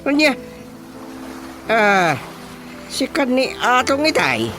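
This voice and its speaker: A man's voice: very high voice, huge pitch swings, slow, over music, full character voice. A man with an Ilocano accent acting an uncle who is a village chairman in a radio comedy drama. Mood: animated. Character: animated male village chairman, an uncle figure.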